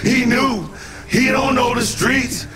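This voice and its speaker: A man's voice: very high voice, fairly even intonation, slow, loud music behind the voice. A man playing a defiant anti-establishment street singer in a game, delivering rhymed protest in a sing-song, declamatory way. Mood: grudging. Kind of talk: sung, rhyming protest speech in a game.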